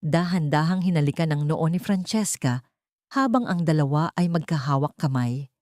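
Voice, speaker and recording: Low, dark-voiced Filipino woman narrator. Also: lively intonation, normal speed, dry recording, clean studio sound. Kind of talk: dramatic scripted story narration.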